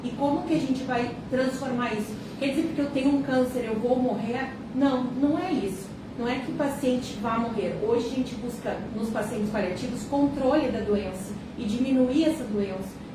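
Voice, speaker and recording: A woman oncologist calmly explaining cancer care as a radio guest. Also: high voice, moderate pace, few pauses. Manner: calm, reassuring, explanatory. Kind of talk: explanatory interview talk. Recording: very echoey room, distant mic, noise.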